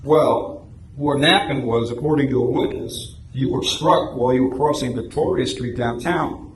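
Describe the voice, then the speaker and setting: A man performs a police patrolman in a radio play, explaining things patiently and apologetically without giving way. His pitch is middling, and the room is echoey with some background noise. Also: moderate pace, natural voice. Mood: matter-of-fact. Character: apologetic but unbending male police patrolman. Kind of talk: amateur radio drama reading.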